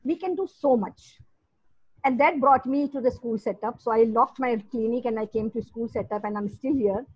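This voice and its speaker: A woman's voice: a little high, normal speed, slightly rough, no echo. A middle-aged woman from India with an Indian English accent, a psychologist turned educator telling her story with earnest emphasis on a video call. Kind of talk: panel discussion remarks. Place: home room, indoors. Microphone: laptop webcam on video call. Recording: muffled video call audio.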